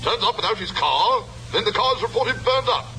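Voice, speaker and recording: A protective father and shopkeeper, thoroughly fed up. He complains in clipped, indignant bursts with a piercing, high, swooping voice. It is vintage radio drama with faint noise. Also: animated, a little fast, bright, forceful tone. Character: fed-up, protective middle-aged father, fussy male shopkeeper. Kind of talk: old-time radio drama acting. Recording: faintly noisy old radio recording.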